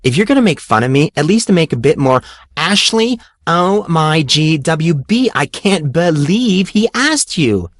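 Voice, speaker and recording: Man putting on a high-pitched teasing teenage girl. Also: very animated, measured pace, no room sound, studio quality. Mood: excited. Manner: teasing, dramatic. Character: Cammy, teenage girl, teasing, boy-crazy, bubbly best friend.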